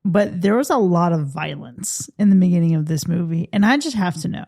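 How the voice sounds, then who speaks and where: A woman with a very mellow, dark tone and a slightly low pitch, recorded dry. She comes across as a podcast co-host in casual conversation, making wry, pointed observations. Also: animated, brisk, dry recording. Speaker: podcast co-host reviewing a horror film. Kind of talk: casual film discussion.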